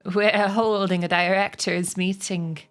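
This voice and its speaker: An elderly storybook sheep, acted out by a woman. The elder is shrewd and persuasive, speaking with measured, unhurried authority to the barnyard. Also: medium pitch, little pitch movement, smooth, close to an everyday voice. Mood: matter-of-fact, scheming.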